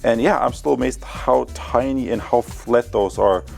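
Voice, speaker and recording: A man's voice: mid-range voice, normal speed, creaky, darkish tone, faint music behind. A man giving a casual product review, talking conversationally to his viewers as a tech reviewer. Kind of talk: casual product review and field test talk.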